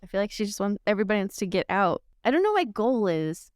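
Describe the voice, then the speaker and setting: A woman's voice: mid-range voice, very animated, quick pace, clear, resonant tone, no room sound. Woman player in dry, close sound. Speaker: player in a tabletop roleplaying game. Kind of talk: casual game chat.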